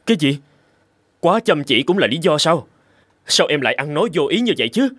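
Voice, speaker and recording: A man's voice: on the high side, big pitch swings, fairly quick, fairly bright tone, slightly rough, no room sound, studio quality, heavily acted. A man playing a disbelieving, reproachful young husband in a scripted cartoon, questioning indignantly.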